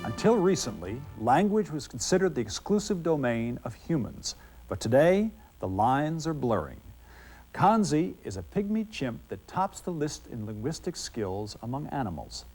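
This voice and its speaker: A man's voice: mid-range voice, big pitch swings, normal speed, a little mellow, soft background music. A man presenting a scripted documentary as a television host.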